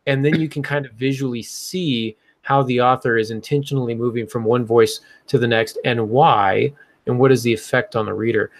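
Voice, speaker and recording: A man's voice: mid-range voice, steady tone, moderate pace, no echo. A man hosting a casual podcast discussion, explaining like a teacher and thinking aloud as he goes. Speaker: podcast host and writing teacher.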